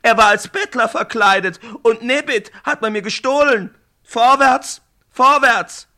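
A drunken desert warlord roars his orders in a high, strained voice, as a man puts him on. The tone is bright and forceful, and he mocks and bellows at his men. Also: lively intonation, slow pace, full character voice. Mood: furious shouting. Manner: bellowing commands, mocking.

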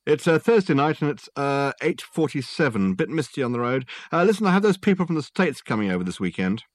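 A man's voice: sing-song, brisk, no room sound. A man voicing a chatty businessman leaving a hesitant, breezy answering-machine message. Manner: breezy, hesitant, high and loud.

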